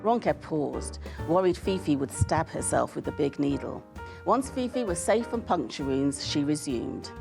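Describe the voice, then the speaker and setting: A woman reads in a measured, expressive audiobook style. Her voice sits fairly low, with a marked creak at the edges. Music plays clearly behind her. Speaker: author. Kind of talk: scripted talk and audiobook-style reading. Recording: music bed under the voice.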